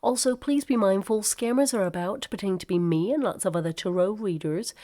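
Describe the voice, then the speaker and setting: A woman giving a gentle tarot reading to camera, her voice a little croaky from a cold, speaking in a soothing way with some ums and uhs. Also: medium pitch. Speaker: tarot reader.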